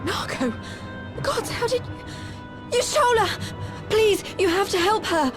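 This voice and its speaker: An actress playing a warm-hearted, worried young fighter in a video game, highly emotional. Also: female voice, expressive, takes it slowly, heavily acted. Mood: panicked. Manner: emotional, scolding out of worry. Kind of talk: video game cutscene acting. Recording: faint game music behind the voice.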